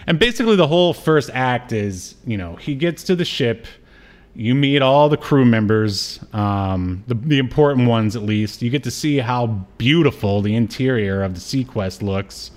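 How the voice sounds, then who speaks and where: A man's voice: medium pitch, lively intonation, moderate pace. Casual, jokey man co-hosting a podcast. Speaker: podcast co-host. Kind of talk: casual comedic recap chat about a TV show.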